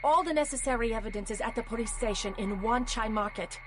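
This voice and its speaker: A woman playing a sly, poised Hong Kong politician in a video game, with a measured, persuasive delivery. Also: on the high side, music in the background, lightly acted. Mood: guarded, brooding.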